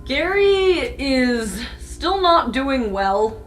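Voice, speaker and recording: A woman's voice: high-pitched, big pitch swings, very slow. A woman player in a tabletop role-playing game, hesitantly thinking aloud as she narrates her character. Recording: roomy, music underneath.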